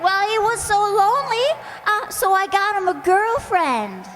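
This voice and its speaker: A woman's voice: very high voice, expressive, measured pace, fairly bright tone, full character voice. A woman playing a sweet, naive, scatterbrained young secretary in an old-time radio comedy, guileless and earnest. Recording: reverberant hall, faint noise.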